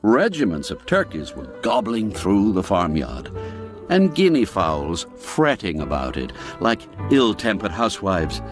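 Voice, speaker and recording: Slow, lively-voiced male storyteller. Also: low voice, over music. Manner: measured, expressive storytelling. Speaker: narrator. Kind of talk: scripted storybook narration.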